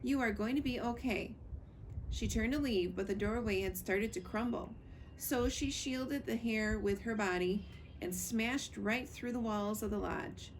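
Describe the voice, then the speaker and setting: A woman narrates a children's storybook in the manner of a school librarian. Her voice has a noticeable creak at a middle pitch. She is recorded on a screened porch with clear background noise. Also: measured pace, rough recording. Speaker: school librarian reading aloud.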